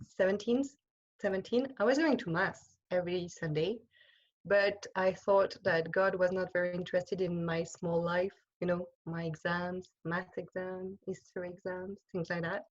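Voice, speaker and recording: A French-accented woman, a religious sister from France whose first language is French, giving an informal talk to an online group on a video call and often pausing to search for words. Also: fairly low pitch, steady tone, dull audio. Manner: hesitant, searching for words, many pauses and restarts. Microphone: computer microphone on a video call.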